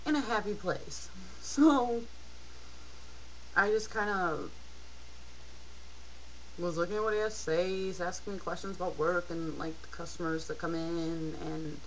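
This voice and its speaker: A woman's voice: fairly low pitch, measured pace, long pauses, a little hiss or hum, low-quality recording. A tired young woman telling a personal story casually, in a candid, rambling and hesitant way, as a video blogger. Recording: rock song playing in the background. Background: rock music playing behind the voice.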